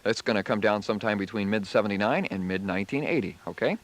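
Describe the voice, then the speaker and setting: A man's voice: on the low side, quick pace. An American man working as a radio DJ, delivering music patter and news in a 1970s style. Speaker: radio DJ and newsreader.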